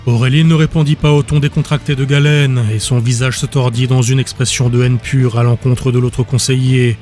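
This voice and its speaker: A man narrating a dark fantasy audiobook in a steady, measured storytelling voice. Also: medium pitch, soft background music. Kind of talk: scripted narration.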